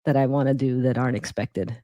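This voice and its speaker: A middle-aged woman from Guyana who grew up in New York, telling her personal story reflectively as an interview guest, with thoughtful, deliberate phrasing. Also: extremely low pitch, fairly even intonation, dark tone, dry recording, clean studio sound. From born in Guyana, raised in the Bronx from age seven. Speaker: interview guest, emergency physician.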